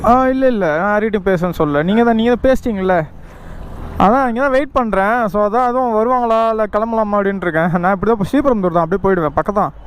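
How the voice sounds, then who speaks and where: A man with a high voice and a Tamil Nadu accent talks in a casual, excitable vlog style. He is recorded outdoors by a road, with clear traffic noise around him. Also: racing. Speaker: motorcycle food vlogger. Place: outdoors on a roadside. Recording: noisy outdoor roadside with traffic. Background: traffic and vehicle noise.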